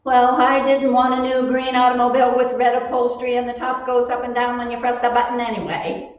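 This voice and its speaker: A woman's voice: fairly high pitch, flat delivery, a little fast, slight background noise, natural voice. A woman playing a sensible, affectionate wife in a 1940s radio comedy, with a theatrical, coaxing delivery. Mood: soothing, indignant. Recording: echoey hall, distant mic, muffled.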